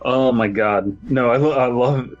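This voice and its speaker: A man's voice: mid-range voice, measured pace, soft background music. A man hosting a podcast, joking casually with a group in a dull lo-fi recording. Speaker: podcast host. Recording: dull lo-fi audio, faint noise.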